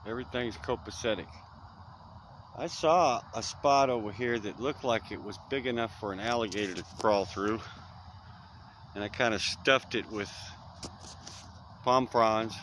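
A man's voice: mid-range voice, fairly bright tone. A man, rambling folksy vlog talk outdoors at dusk, recorded on a close-held phone. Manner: rambling, folksy, trails off with fillers. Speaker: vlogger. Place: outdoors in dense brush at dusk. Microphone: phone camera held close. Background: crickets, insects and distant traffic.

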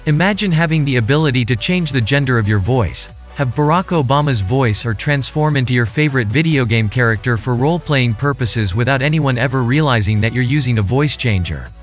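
A man's voice: mid-range voice, lively intonation, a little fast, few pauses, faint music behind. A man from Germany presenting a video as scripted narration.

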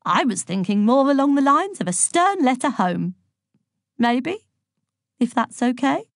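A woman voicing a timid, soft-hearted male headmaster in an audiobook, hesitant, apologetic and stammering. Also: high voice, very animated, measured pace, no room sound, studio quality, pitched above the performer's own voice, heavily acted. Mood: flustered, hesitant.

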